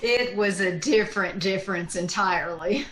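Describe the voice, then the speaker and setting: A woman's voice: mid-range voice, normal speed, a bit bright, lightly acted. Woman playing a wry schoolteacher, echoey room. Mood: dry, wry. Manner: dry, sardonic. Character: wry wisecracking female schoolteacher. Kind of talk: old-time radio sitcom acting. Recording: roomy, echoey video-call recording.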